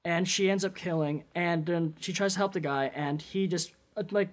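A man's voice: high voice, steady tone, moderate pace. A man co-hosting a film podcast in a casual, chatty style. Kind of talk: casual chat.

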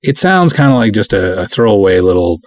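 A man hosting a podcast solo in a casual, rambling, self-deprecating way, chatting and reading things aloud with a husky voice. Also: on the low side, very animated, brisk, a little mellow. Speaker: podcast host.

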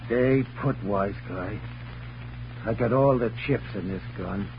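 A man's voice: lowish voice, slow pace, slight background noise. An actor playing a desperate, menacing gangster gunman with slangy tough-guy talk in 1940s radio crime drama style. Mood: threatening. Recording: muffled, humming old radio recording.

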